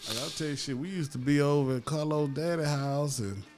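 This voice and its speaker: A man's voice: fairly high pitch, a little fast, darkish tone, faint music behind. A man in his fifties, a guest on a chat show, talking in an easygoing, rambling way. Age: 50s. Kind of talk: casual chat, reminiscing.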